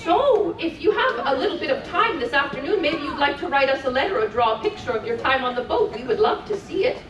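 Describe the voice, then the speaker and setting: Woman acting as Santa's doting wife, live children's storytime, cheerful, encouraging, very lively pitch, distant mic, crowd around. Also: fast. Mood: cheerful, chatty. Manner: sing-song, encouraging, addresses children. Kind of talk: live storybook reading to an audience. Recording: echoey room, distant mic, crowd noise.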